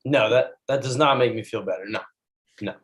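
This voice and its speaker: A man's voice: mid-range voice, brisk, close to an everyday voice. A man playing a blunt, aggrieved buddy in his twenties in a comedy script table read.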